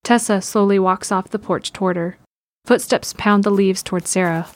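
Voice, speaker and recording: A woman's voice: medium pitch, fairly even intonation, normal speed. A woman reading scripted present-tense narration for an audio drama.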